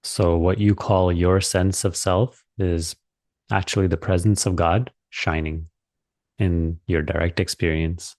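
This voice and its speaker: A man leading a guided meditation as a teacher, speaking calmly and deliberately with long pauses. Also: deep voice, no room sound.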